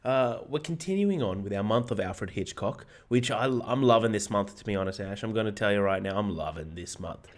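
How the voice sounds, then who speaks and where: A slightly low-pitched Australian man with a hoarse edge to his voice. He chats about films on a podcast with lively, joking energy and casual swearing. His delivery is loose and conversational. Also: fairly quick, hardly any pauses. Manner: energetic, jokey, swears freely. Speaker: podcast co-host. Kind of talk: casual film podcast chat.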